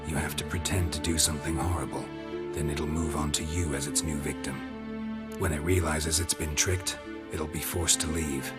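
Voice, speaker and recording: An actor playing a grizzled monster hunter who talks in terse, clipped, matter-of-fact sentences. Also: male voice, a bass voice, steady tone, moderate pace, over loud music. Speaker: game protagonist.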